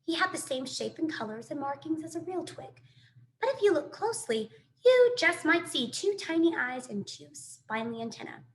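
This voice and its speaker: High-pitched female voice, expressive read-aloud, animated storyteller, some background noise. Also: moderate pace, low-quality recording. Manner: animated, dramatic sound effects and asides. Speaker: teacher reading a children's book aloud.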